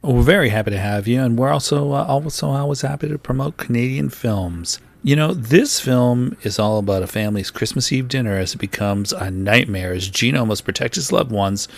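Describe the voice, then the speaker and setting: A man's voice: mid-range voice, fairly quick, gravelly, few pauses. A man hosting a radio interview, asking friendly, talkative questions. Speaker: radio host.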